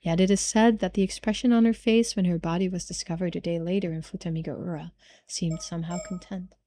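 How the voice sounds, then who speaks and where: A woman voicing a solemn storyteller, reading scripted tragic narration from a game in a measured way. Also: lowish voice, fairly even intonation, warm tone, lightly acted. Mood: solemn, somber.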